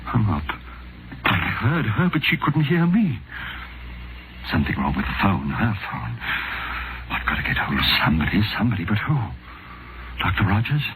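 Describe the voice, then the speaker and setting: Haunted, terrified patient, low-voiced. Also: male voice, big pitch swings, very noisy. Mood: anxious urgency. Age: middle-aged. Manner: theatrical, halting, dramatic delivery. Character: terrified male hospital patient, desperate, haunted narrator. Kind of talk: 1940s radio horror acting. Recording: vintage 1940s radio recording with music.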